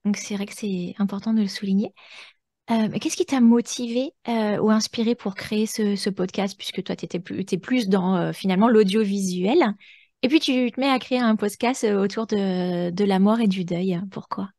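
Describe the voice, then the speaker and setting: A woman's voice: mid-range voice, moderate pace, a little mellow, no room sound, clean studio sound. A woman hosting a conversational interview, speaking informally and feeling her way reflectively through her sentences. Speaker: podcast host, interviewer.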